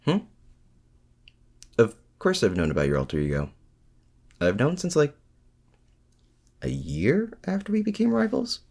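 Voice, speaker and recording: A man's voice: mid-range voice, wildly swooping pitch, heavily acted. A man acting in an intimate audio roleplay as a lonely, awkward supervillain and mad scientist, speaking in a hesitant, sincere way. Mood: awkwardly chatty. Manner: hesitant, halting, softly sincere.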